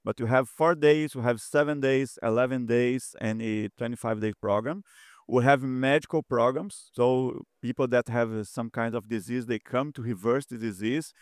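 A man from Brazil with a strong Brazilian Portuguese accent, answering interview questions in halting English with frequent pauses. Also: medium pitch, no room sound, clean studio sound. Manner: halting, searching for words with frequent "uh". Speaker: president of a lifestyle health center.

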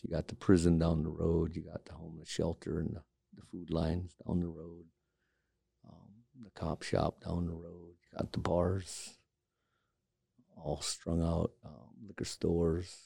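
A man from Alaska, a carver and studio owner, speaking reflectively in a documentary interview, pausing often with fillers. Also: low voice, creaky, mellow, slightly rough, dry recording. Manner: reflective, halting with many fillers.

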